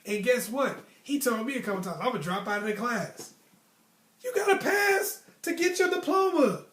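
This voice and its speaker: A young American man telling personal stories casually and giving motivational advice, with an animated, emphatic, slangy delivery. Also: very high voice, normal speed. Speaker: storytelling host. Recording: roomy, echoey sound.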